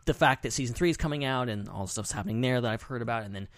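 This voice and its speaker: A man's voice: medium pitch, very fast, no room sound. A man hosting a podcast in casual, rambling, enthusiastic conversation. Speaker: podcast host.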